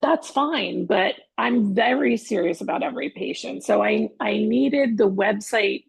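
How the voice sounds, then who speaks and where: A woman with a naturally raspy voice, a wellness practitioner speaking candidly as a guest in a casual interview. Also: fairly high pitch, a little slow, no echo. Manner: candid, forthright, speaks her mind.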